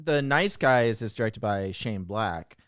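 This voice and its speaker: A man's voice: on the low side, lively intonation, a little slow, crowd noise. A man in casual conversation, given to teasing banter. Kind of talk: casual chat.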